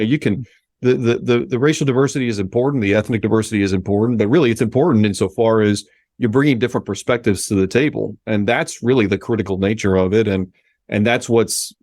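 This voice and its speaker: Low-voiced reflective man, Spanish native. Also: a little mellow, dry recording, studio quality. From Miami, United States. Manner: reflective and earnest, with frequent self-restarts. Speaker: guest, sports executive. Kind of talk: conversational interview.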